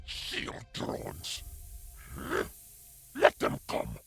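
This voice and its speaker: A woman playing a blunt, hostile alien warrior with a harsh, raspy voice in a science fiction audio drama. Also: drawn-out words, soft background music. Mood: menacing.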